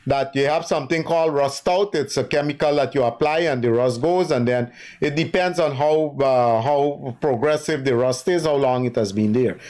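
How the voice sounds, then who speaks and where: A man's voice: mid-range voice, moderate pace, hardly any pauses, a little mellow. A man hosting a live call-in radio show, firmly correcting callers. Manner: firm, corrects callers patiently.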